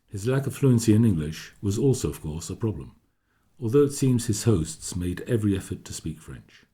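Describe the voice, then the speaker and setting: A man delivering scripted documentary narration in a measured, formal manner. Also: fairly low pitch, very animated, dark tone.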